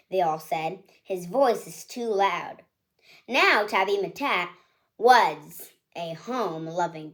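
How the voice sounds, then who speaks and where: Slow, expressive woman reading aloud. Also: mid-range voice. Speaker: children's story reader. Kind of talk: reading a picture book aloud.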